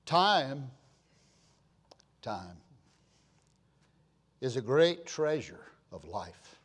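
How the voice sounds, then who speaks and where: Man, 60s, pastor; unhurried, measured sermon delivery with repeated lines and loud emphatic swells. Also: medium pitch, sing-song, slightly rough. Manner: slow, repetitive preaching with rising emphatic swells.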